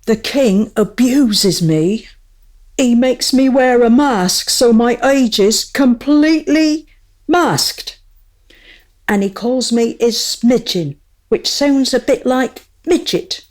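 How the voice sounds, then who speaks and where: A woman's voice: on the high side, takes it slowly, a little mellow. A woman playing a small, cheeky, aggrieved court jester in a comic historical radio play, with a theatrical, wry delivery.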